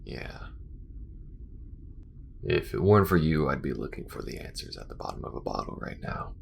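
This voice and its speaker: A man playing an earnest alien smuggler and loyal friend in an intimate audio roleplay, speaking haltingly and confidingly to the listener in a gravelly voice. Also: slight background noise. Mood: reflective, grateful. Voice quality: very deep, gravelly. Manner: halting and confiding, with frequent pauses. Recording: music behind the voice.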